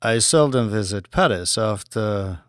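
A man voicing a powerful, courteous Saudi sheikh in an audiobook, speaking formally and deliberately with a subtle menace. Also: lowish voice, expressive, smooth, dry recording, studio quality, voice pushed down. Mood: probing, formal.

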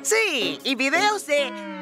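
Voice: sits high, very animated, very slow, sharp tone, full character voice. An actor playing a worried, earnest, techy little boy puppet in a children's TV comedy dub. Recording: music behind the voice.

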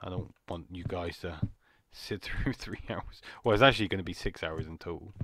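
A man with a slightly croaky voice, rambling and jumpy as he comments on a horror game. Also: low voice, moderate pace, sharp tone, slight background noise. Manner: rambling, jumpy, muttering to himself. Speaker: gaming channel host. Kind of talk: casual horror gameplay commentary.

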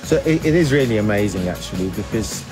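Low-voiced man reviewing over music. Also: lively intonation, fast talker. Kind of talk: informal testimonial.

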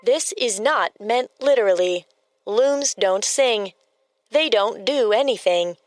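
A woman giving scripted encyclopedic asides in a deadpan, literal, precise manner. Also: a little high, slow pace, no echo, clean studio sound, faint music behind. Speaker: fact-giving co-narrator.

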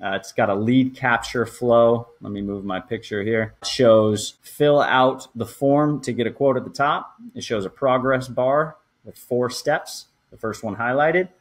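A man's voice: mid-range voice, measured pace, few pauses. A man in his thirties hosting a show, talking through things step by step in a conversational, explanatory way. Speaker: podcast host. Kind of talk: conversational walkthrough for a marketing show.

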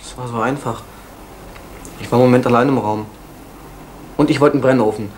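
A man's voice: mid-range voice, steady tone, brisk, a little mellow, slight background noise, close to an everyday voice. An actor playing a troubled young man who talks in an agitated, rebellious way in an old radio drama. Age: young adult. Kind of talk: 1970s-80s radio drama acting.

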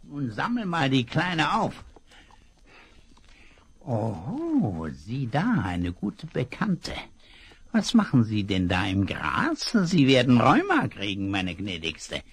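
An actor playing a scheming male villain in a radio play, sneering and threatening with mock politeness, slightly husky. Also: medium pitch, huge pitch swings, takes it slowly, exaggerated voice. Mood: menacing. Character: scheming male villain rancher, cold and menacing. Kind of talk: 1970s radio play acting.

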